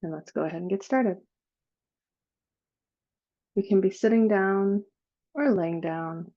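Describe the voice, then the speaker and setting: A woman leading a guided meditation in a slow, soothing manner, with long pauses between phrases. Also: medium pitch, warm tone, dull audio.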